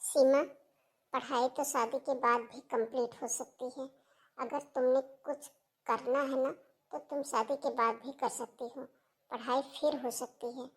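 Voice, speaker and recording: A woman acts out a motherly sister-in-law in a cartoon family drama. Her high voice is soft and gentle, and she persuades in an earnest, reassuring way. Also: lightly acted.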